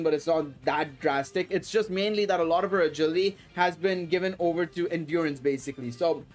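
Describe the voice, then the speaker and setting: Hoarse young man, high voice. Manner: enthusiastic, repeats himself, fast run-on explanations. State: hoarse with a sore throat. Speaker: gaming YouTuber. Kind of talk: casual commentary on a mobile game. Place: home room, in front of a screen capture. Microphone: headset.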